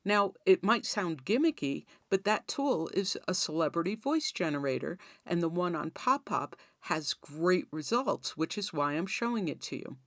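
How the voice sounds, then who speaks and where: Female tutorial host, slightly low pitch, lively intonation, conversational explainer delivery, close dry sound. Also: moderate pace, few pauses, no echo. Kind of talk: instructional tutorial narration.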